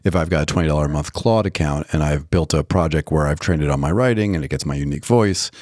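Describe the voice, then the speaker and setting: A man with a notably low voice in a close, dry recording. He talks casually as a podcast co-host, explaining things conversationally and checking in with his listener. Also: fast talker, a little mellow, dry recording.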